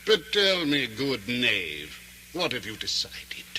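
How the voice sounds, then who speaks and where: An actor playing a sly, cruel nobleman in a radio drama, speaking with pompous mock courtesy and sinister smoothness. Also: medium pitch, wildly swooping pitch, takes it slowly, pushed and bright, heavily acted. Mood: sly, menacing. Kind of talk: theatrical radio drama acting. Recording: noisy vintage radio drama recording.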